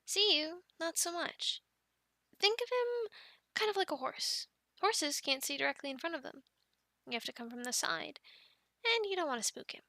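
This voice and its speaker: A woman's voice: very high voice, lively intonation, normal speed, long pauses, sharp tone, dry recording, full character voice. A woman playing a friendly, encouraging dragon keeper in an ASMR roleplay, chatting playfully with the listener and cooing to animals like pets. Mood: gentle, instructing.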